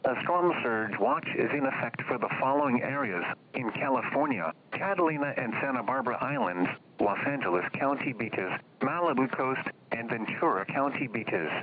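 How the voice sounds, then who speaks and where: A man's synthetic-sounding announcer voice reading weather alerts in a flat, formal bulletin manner. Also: mid-range voice, moderate pace, pauses often. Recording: muffled, noisy weather radio broadcast.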